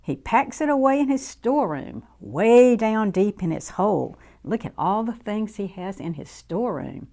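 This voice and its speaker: A woman reading a picture book aloud to young children in an expressive, deliberate, sing-song way. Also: mid-range voice. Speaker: children's story reader.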